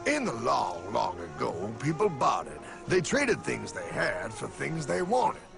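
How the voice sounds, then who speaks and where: Man playing a gravelly, brash cartoon know-it-all. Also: medium pitch, wildly swooping pitch, unhurried, full character voice. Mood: exasperated lecturing. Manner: wisecracking, lecturing, exasperated. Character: brash, cynical, know-it-all cartoon pal explaining money. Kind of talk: animated cartoon explainer dialogue. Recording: music behind the voice.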